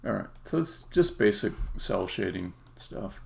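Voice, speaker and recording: A man giving a casual live-stream demo as a game developer, halting and muttering to himself as he works. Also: on the low side, very animated, creaky. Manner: hesitant, halting, muttering to himself while working. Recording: noisy room, keyboard and mouse clicks.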